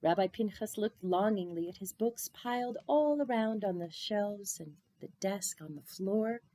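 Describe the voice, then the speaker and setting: A woman reading a children's picture book aloud expressively, drawing out words and dropping into hushed phrases for drama. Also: mid-range voice, expressive, unhurried, dry recording. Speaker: storybook reader.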